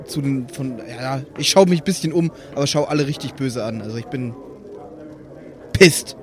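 A man's voice: medium pitch, moderate pace. A man playing a tabletop role-playing game, casually improvising what his character does and feels.

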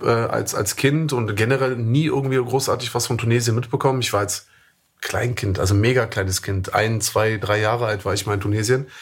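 A husky man from Germany co-hosting a podcast at an average pitch. He talks in a relaxed, reflective way, with frequent fillers and a steady stream of agreeing "ja"s. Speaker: podcast co-host. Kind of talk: casual conversational podcast.

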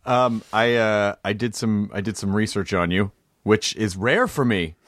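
A man's voice: lowish voice, measured pace, a bit bright, no room sound, clean studio sound. Joking, rambling male interviewer. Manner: joking, rambling, swears freely. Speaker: interviewer host. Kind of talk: casual interview chat.

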